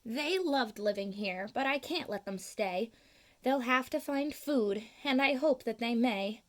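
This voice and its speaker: A woman voicing a grumpy old forest creature from a storybook, croaky, scolding and pleading. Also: a little high, animated, measured pace. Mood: mournful pleading. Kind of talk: storybook read-aloud.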